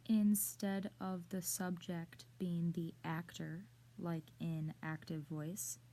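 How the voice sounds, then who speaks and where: A woman presenting a scripted lesson in a measured, explanatory way, speaking directly to the viewer. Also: fairly low pitch, dark tone. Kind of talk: scripted instructional narration.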